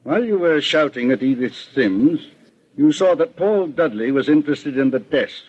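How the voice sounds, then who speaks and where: A stern, shrewd detective from a vintage radio mystery. His voice is husky and he speaks with deliberate authority, never flustered. The sound is dull and lo-fi, like an old broadcast. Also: fairly high pitch, lively intonation, a little mellow. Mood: accusing. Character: calm, shrewd, stern male detective boss. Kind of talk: 1940s radio crime drama acting.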